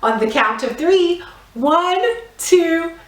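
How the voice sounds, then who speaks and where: Lively, high-voiced woman teaching children drama. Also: takes it slowly. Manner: encouraging, instructional, clearly phrased step-by-step directions. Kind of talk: guided theatre warm-up lesson for young children. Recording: roomy echo, distant microphone.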